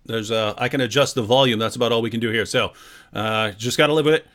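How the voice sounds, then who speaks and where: A man hosting a live-streamed talk show, jokey and energetic as he introduces his guest and relays viewer comments. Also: mid-range voice, quick pace. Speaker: host.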